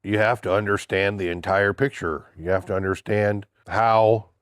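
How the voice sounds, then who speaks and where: Gruff low-voiced older man with a stuffy nose. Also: steady tone, normal speed, dry recording, studio quality. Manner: blunt, indignant. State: stuffy, congested from a cold. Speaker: political vlogger. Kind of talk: casual opinion monologue.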